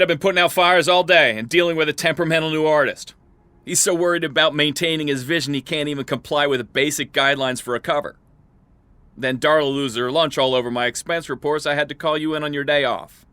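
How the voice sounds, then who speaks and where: A ruthless middle-aged boss in an audio drama. His voice is sharp and forceful, recorded very dry. He gives orders brusquely, with biting sarcasm. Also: fairly high pitch, fairly quick, clean tone, no room sound. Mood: harried.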